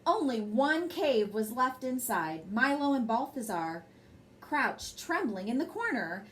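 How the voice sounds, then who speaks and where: A woman's voice: fairly high pitch, sing-song, measured pace, fairly bright tone, slight background noise. A woman reading a children's bedtime story aloud expressively, with brief asides to her young listeners. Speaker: storybook reader and host.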